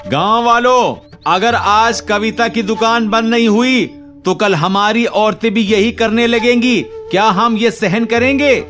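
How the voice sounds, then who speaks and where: A man's voice: high voice, a little slow, fairly bright tone, soft background music. A man voicing a scheming, indignant young village agitator in a cartoon, goading and scornful. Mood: rabble-rousing.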